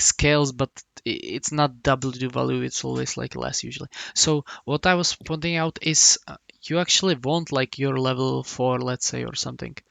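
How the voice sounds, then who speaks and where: Man, from the Republic, gravelly voice, dry close sound, halting coach talk. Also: medium pitch, fairly bright tone, dry recording. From Czech Republic. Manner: halting, many restarts and hums while explaining. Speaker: game coach.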